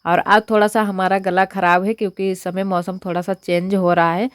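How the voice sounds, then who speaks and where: A young woman with a hoarse, husky voice from a cold, chatting casually as she walks through her cooking in a village home kitchen. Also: mid-range voice, flat delivery, a little fast, dry recording, studio quality. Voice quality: husky, strained voice. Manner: chatty, homely step-by-step narration. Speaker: village vlogger. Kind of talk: casual cooking vlog narration.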